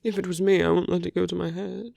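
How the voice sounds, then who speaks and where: A performer voicing a smug, flirtatious villain in an ASMR roleplay, teasing and intimate, with a breathy murmur that often slips into whispers. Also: mid-range voice, flat delivery, quick pace, a little mellow, dry recording, clean studio sound. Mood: intimate, coaxing. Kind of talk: ASMR romantic roleplay audio drama.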